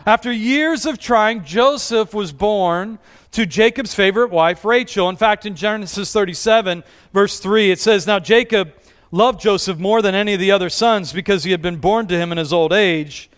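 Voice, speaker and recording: Male pastor, high voice, smooth and resonant, conversational sermon, asks the congregation questions. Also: moderate pace, fairly bright tone. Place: church with congregation.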